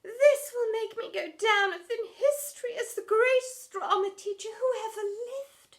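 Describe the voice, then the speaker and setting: Woman acting out a melodramatic, high-pitched drama teacher. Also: measured pace. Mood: tearful, grandiose. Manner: theatrical, wobbling with emotion, mock-archaic speech. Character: melodramatic, gushing female drama teacher speaking mock Shakespearean. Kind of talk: children's storybook character voice.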